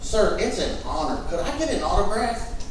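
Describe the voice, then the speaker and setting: High-voiced racist American jailer, echoey hall. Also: male voice, big pitch swings, moderate pace, slight background noise. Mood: fawning awe. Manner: folksy, taunting, drawling vernacular. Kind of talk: staged musical theatre reading. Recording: echoey hall, distant mic, faint music.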